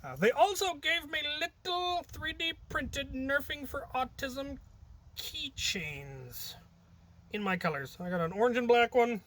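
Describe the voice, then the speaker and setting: Bright, higher-pitched man rambling casually. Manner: self-deprecating, jokey, hesitant thinking aloud. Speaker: hobby video creator and host. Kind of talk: casual rambling vlog storytelling.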